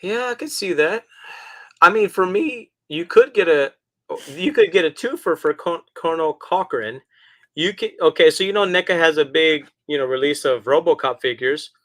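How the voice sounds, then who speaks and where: A man's voice: a little high, lively intonation, moderate pace. A man co-hosting a casual podcast chat, laid-back and agreeable, cursing freely. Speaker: podcast co-host.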